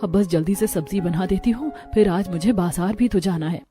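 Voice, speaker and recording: A woman's voice: lowish voice, a little fast, music in the background, lightly acted. A woman voicing a hardworking, patient young daughter-in-law in a narrated story. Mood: patient, eager. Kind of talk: acted dialogue in a narrated story.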